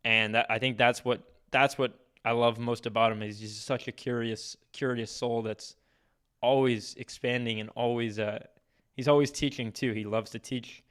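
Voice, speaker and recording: A man hosting a podcast, introducing his guest in a halting way, with many restarts. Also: medium pitch, steady tone, fairly bright tone, clean tone. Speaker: podcast host.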